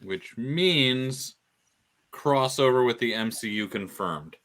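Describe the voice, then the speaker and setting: A man's voice: mid-range voice, animated, takes it slowly, a bit bright. A man co-hosting a podcast, dropping dry, mock-solemn pronouncements into casual banter. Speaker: podcast co-host.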